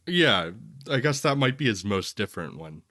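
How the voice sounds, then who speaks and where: A man's voice: lowish voice, big pitch swings, moderate pace, bright, forceful tone, no echo, clean studio sound. A man co-hosting a podcast, talking through film opinions in an informal, discursive and analytical way. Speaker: podcast co-host. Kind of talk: casual film review chat.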